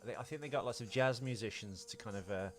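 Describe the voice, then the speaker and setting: Deep-voiced man chatting over music. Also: fast. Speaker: podcast co-host. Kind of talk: casual film chat. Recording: music playing behind the talk. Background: soundtrack music.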